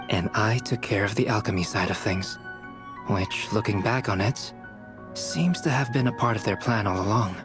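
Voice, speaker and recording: An actor playing a calm, scholarly young male alchemist in a game, explaining in a measured, analytical way. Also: on the low side, natural voice. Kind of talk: game cutscene voice acting. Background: background music.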